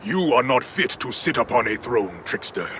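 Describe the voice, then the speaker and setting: A man's voice: mid-range voice, big pitch swings, moderate pace, fairly bright tone, slight background noise. A man playing a stern, noble, devout warrior in a video game, formal and commanding.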